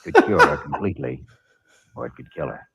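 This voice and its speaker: A man's voice: rumbling low, expressive, fast talker, fairly bright tone, slight background noise, full character voice. An actor playing an earnest, assured male doctor in a 1950s radio drama, speaking in a firm, clinical, persuasive way. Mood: grave, firm. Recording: old 1950s radio broadcast, muffled narrow band.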